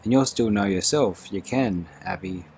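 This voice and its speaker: A man's voice: fairly low pitch, moderate pace, darkish tone, a little hiss or hum, close to an everyday voice. A man voicing a fussing, devout Orkney woman servant with a lilt, motherly and proper. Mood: tender.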